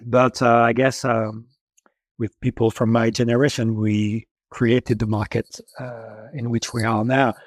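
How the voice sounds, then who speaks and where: A man in his fifties from France with a French accent, a native French speaker, answering interview questions in a halting way with many filler pauses. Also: mid-range voice, level tone, dry recording. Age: 50s. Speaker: special makeup effects supervisor guest.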